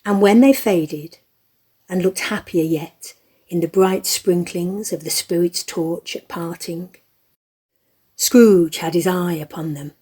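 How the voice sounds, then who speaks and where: A woman narrating a scripted story for an audio play, reading in a measured, expressive way. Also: lowish voice, husky.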